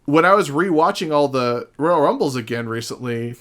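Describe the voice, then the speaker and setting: A man in a casual podcast discussion, recounting stories in an animated, profane way as a guest. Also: a little high, moderate pace.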